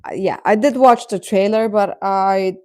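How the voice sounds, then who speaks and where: A woman's voice: mid-range voice, unhurried, warm tone, no room sound. A woman hosting a casual livestream, chatting to viewers in a hesitant, rambling, stop-and-start way. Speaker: livestream host.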